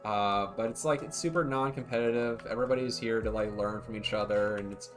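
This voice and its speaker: A man's voice: on the low side, a little fast, faint music behind. Laid-back rambling male game streamer. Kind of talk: casual livestream chat.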